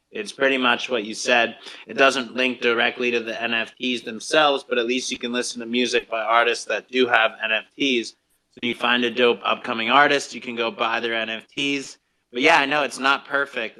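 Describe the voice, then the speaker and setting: A man's voice: medium pitch, bright, forceful tone, rough recording. A man hosting a casual live audio discussion, speaking informally with some slang. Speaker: host.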